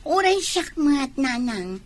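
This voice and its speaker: A woman's voice: sits high, sing-song, slow, full character voice. A woman playing a scheming, money-minded younger woman in a radio drama, with drawling, exaggerated intonation. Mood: coaxing, sly.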